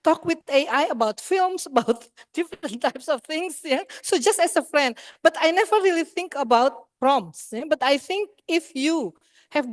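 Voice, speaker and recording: A woman's voice: high-pitched, lively intonation, moderate pace, no echo. A middle-aged woman on a seminar panel, answering in a hesitant way with many fillers and restarts.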